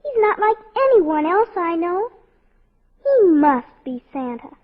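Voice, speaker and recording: A woman's voice: very high voice, sing-song, takes it slowly, full character voice. A female performer playing an earnest, trusting little girl in a 1940s radio drama. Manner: earnest, innocent. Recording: muffled vintage 1940s radio recording.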